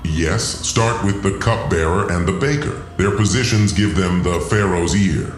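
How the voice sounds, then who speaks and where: A man's voice: deep voice, a little slow, echoey room, faint music behind. A man voicing a scheming alien overlord who poses as a god, commanding and contemptuous, in a science fiction audio drama. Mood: commanding, calculating.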